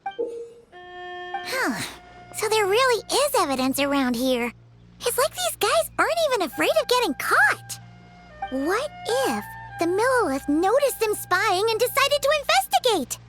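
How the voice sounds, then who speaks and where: Naive little fairy companion for a game: very squeaky, animated, chirpy, music behind. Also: female voice, unhurried, exaggerated voice. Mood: surprised, indignant. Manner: refers to self in third person, expressive. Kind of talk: video game voice acting.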